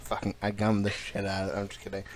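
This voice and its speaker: A man's voice: lowish voice, completely flat delivery, quick pace. A young man co-hosting a casual podcast chat, rambling with lots of fillers and crude jokes. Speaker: podcast co-host.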